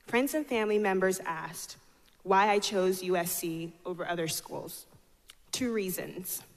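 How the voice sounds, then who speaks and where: A young woman reads a formal student address from a podium. Her voice is slightly low, and it carries long reverb from the large hall. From Ghana, grew up in North Carolina, United States. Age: 20s. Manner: formal, reading from notes. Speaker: student speaker. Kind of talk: prepared ceremonial speech. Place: large auditorium stage at a podium. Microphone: podium microphone. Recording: echoey auditorium with long reverb.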